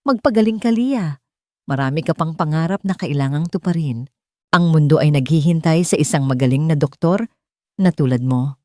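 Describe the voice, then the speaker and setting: A woman's voice: sits low, normal speed, darkish tone, no echo, clean studio sound, natural voice. Assured, earnest businessman acted by a woman. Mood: gentle, encouraging. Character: kind, decisive wealthy male businessman in a drama story.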